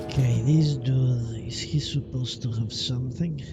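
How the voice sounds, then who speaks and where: A male streamer gives off-the-cuff commentary while playing a game. His voice is mid-pitched with noticeable fry, and he takes his time between thoughts. He thinks aloud, swears casually and expects the worst, all over very loud game music. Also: steady tone, unhurried. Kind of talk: live gameplay commentary, casual muttering. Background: loud video game music and sound.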